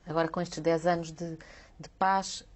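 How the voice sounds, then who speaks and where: A woman's voice: on the low side, level tone, moderate pace. A woman interviewing a guest, asking probing questions and often restarting them with hesitant repeats.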